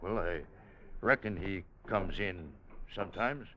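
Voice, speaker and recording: Low-voiced, uneasy saloon bartender. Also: male voice, measured pace, sharp tone, slight background noise. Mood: uneasy, evasive. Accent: American. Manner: hesitant, grudging. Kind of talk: 1950s radio drama acting. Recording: muffled old radio recording.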